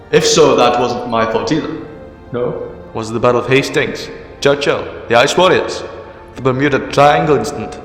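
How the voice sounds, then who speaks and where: A man's voice: mid-range voice, lightly acted. A man playing a sardonic, argumentative time-travelling hero in a sci-fi fan audio drama, defiant in temper. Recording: echoey reverberant hall, music underneath.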